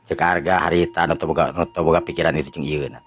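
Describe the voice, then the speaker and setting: Deep-voiced Sundanese male storyteller. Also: fairly even intonation. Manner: slow drawn-out narration with stumbles. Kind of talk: Sundanese folk tale narration. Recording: dull lo-fi audio with faint music.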